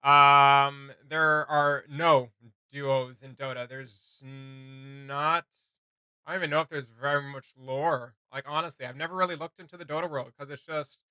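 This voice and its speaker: Bright, clear-voiced man rambling casually. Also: medium pitch, measured pace, no room sound. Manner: rambling, excitable, slangy. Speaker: host of a video game reaction show. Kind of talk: casual reaction chat.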